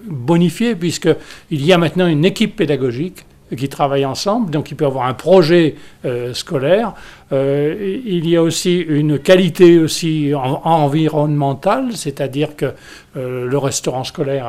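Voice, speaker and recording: A man from France speaking as a school delegate, explaining things unscripted in a measured way while searching for words. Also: fairly high pitch, a little mellow.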